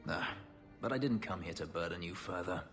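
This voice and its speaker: Game role: bitter male elf fugitive, deep voice, intense and brooding. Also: a little fast, a bit bright, faint music behind. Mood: pained, regretful. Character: bitter male elven former slave, brooding and vengeful.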